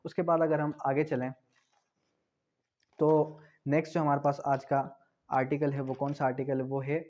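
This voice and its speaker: A man from Pakistan giving an exam-prep law lecture, asking questions and then answering them, spelling out key terms slowly. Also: a little high, little pitch movement, darkish tone, muffled recording. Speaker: law tutor.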